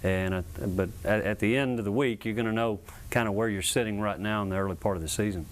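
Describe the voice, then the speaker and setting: A man's voice: fairly low pitch, quick pace. A man, a college coach, giving an unscripted interview soundbite.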